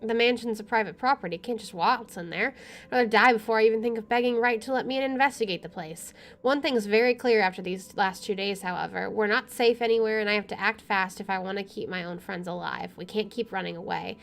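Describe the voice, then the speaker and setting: A woman's voice: medium pitch, fast, gravelly. A woman playing through a game, reading its narration aloud with wry, sweary casual commentary. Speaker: let's player.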